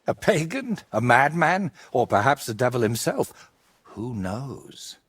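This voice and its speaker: An actor playing an ambitious, vain medieval painter in a video game, speaking in an articulate, theatrical and persuasive way. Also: male voice, mid-range voice, big pitch swings, takes it slowly, a bit bright, breathy edge, full character voice. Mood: teasing. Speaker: painter character. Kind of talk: video game cutscene dialogue.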